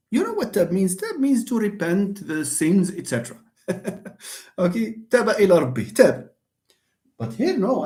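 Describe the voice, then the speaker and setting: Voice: medium pitch, a little mellow. A university lecturer giving a live online lecture, emphatic, repeating phrases and speaking straight to students.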